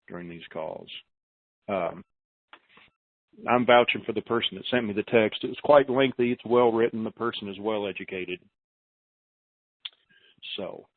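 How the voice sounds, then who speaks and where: A man's voice: on the low side, dry recording. An American man hosting an informal conference call, talking to callers and repeating words for emphasis. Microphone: telephone. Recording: narrow, tinny phone line.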